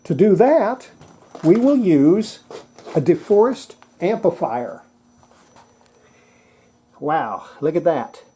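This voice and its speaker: Mellow-voiced presenter giving a halting technical demonstration. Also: mid-range voice, very animated, slightly rough. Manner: halting, thinking aloud. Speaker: presenter demonstrating antique radios. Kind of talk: casual hands-on technical demonstration.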